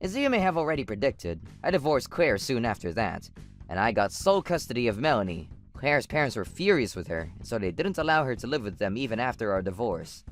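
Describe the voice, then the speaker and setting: A woman voicing a betrayed young husband and father who narrates an animated story, indignant in the arguments and matter-of-fact when recounting. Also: very deep voice, brisk, soft background music, full character voice.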